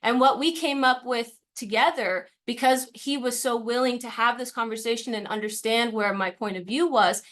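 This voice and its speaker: Fairly high-voiced woman academic. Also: fairly bright tone. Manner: earnest, proud, explanatory. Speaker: university faculty panelist. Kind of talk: panel discussion.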